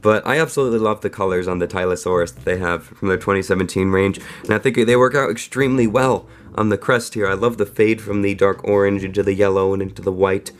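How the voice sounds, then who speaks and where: A young man with a cold, hosting a casual, enthusiastic toy review video full of fillers and quick asides. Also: fairly low pitch, fairly even intonation. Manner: enthusiastic, chatty, frequent fillers. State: sick, voice affected. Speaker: toy reviewer, channel host.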